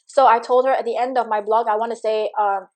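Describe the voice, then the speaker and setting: Chatty Singaporean woman coach, higher voice. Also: fairly even intonation, quick pace. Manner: chatty and encouraging, with frequent fillers and laughs. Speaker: money coach. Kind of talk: live webinar, chatting and reading viewer comments.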